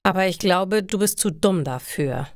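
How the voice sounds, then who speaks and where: Woman reading as bossy, animated male coworker. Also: fairly low pitch, normal speed, no echo, clean studio sound. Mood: exasperated, scornful. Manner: emphatic, scolding, bossy. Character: scheming, scornful male coworker, bossy but chummy. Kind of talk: learner storybook dialogue.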